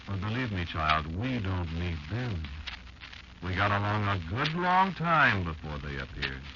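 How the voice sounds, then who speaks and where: An actor playing a bitter, elderly insect lecturing a child in a slow, portentous old-time radio drama monologue. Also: male voice, sits low, very animated. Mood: patient, insistent. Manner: slow, deliberate, portentous storytelling with dramatic pauses. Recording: muffled, noisy old radio show recording.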